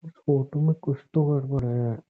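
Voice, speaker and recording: A man's voice: medium pitch, fairly even intonation, moderate pace, lightly acted. Defensive cheating boyfriend on a tinny phone line man acting. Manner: pleading, insistent, self-justifying. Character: cheating boyfriend, shifty, defensive, brazenly self-justifying.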